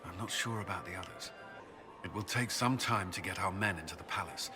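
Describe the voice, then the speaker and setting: A man's voice: sits low, a little fast, music in the background, close to an everyday voice. An actor playing a watchful soldier in a fantasy game, reporting to his leader in a terse, businesslike way. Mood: guarded, businesslike.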